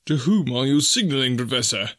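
A man's voice: medium pitch, very animated, a little fast, fairly bright tone, breathy edge, voice pushed up. A man voicing a prim, anxious old secretary in an audiobook story, formal and earnest. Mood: anxious, excited. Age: older.

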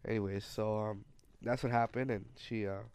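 A man's voice: fairly low pitch, steady tone, moderate pace, a little mellow, clean tone. A young man co-hosting a casual podcast, playful and teasing, mixing in Spanish words and sounding stuffy from a cold. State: stuffy, sick. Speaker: podcast co-host. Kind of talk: casual chat.

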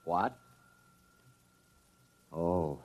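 An actor playing a panicky, sniveling scientist who stammers and pleads, in a classic radio drama. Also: male voice, deep voice, very slow, background noise, exaggerated voice. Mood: panicked whining. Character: panicky, whining publicity-hungry male scientist.

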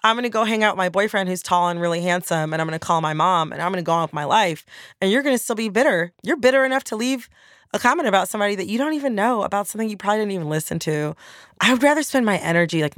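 A woman's voice: fairly low pitch, no echo. A woman podcast host in a studio, talking bluntly and with energy, acting out her replies to critics. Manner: blunt, animated, acts out retorts. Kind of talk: casual studio chat. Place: recording studio. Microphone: studio microphone.

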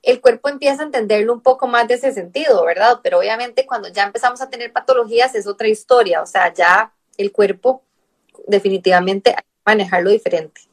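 A woman's voice: fairly high pitch, a little fast. A young adult woman from Costa Rica with a Costa Rican accent, a nutritionist guest explaining patiently and often tagging sentences with a questioning aside. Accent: Costa Rican Spanish. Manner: explanatory, fills with rhetorical "¿verdad?". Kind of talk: conversational interview.

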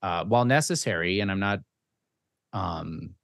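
A man hosting a conversational interview, speaking deliberately and haltingly, often checking in with short tag questions. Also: low-pitched, expressive, creaky, fairly bright tone, no echo, studio quality. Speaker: podcast host.

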